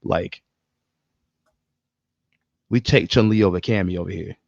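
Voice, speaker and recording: A man's voice: low-pitched, a little slow, vocal fry, darkish tone, dry recording. A man co-hosting a podcast, talking informally with opinions and casual swearing, on a slightly dull microphone. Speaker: podcast co-host. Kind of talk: casual chat about movies and games.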